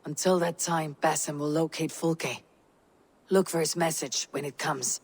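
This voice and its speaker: An actress playing a stern, confident Viking warrior leader in a video game, speaking in a measured, commanding way. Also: female voice, sits low, fairly even intonation, a little mellow, breathy edge, exaggerated voice. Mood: grave, commanding. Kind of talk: video game cutscene acting.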